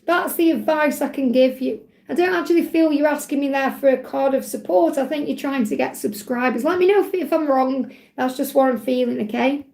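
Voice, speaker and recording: A woman, a tarot reader hosting a live Q&A, talking in a chatty, reassuring way while her voice grows croaky. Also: high-pitched, fairly quick, few pauses, a little mellow, reverberant. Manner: chatty, reassuring, repeats phrases, ends points with "okay?". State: voice getting croaky. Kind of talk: live-stream tarot reading, reading out chat messages.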